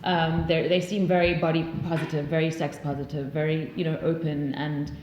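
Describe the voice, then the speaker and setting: A woman in her twenties with a fairly low voice chats loosely, full of fillers and restarts. Her voice bounces around a roomy space, with a little hiss underneath. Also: level tone, a little fast, darkish tone, lo-fi sound. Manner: chatty, many fillers and restarts, laughs easily. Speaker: podcast host. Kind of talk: casual conversation. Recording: roomy echo.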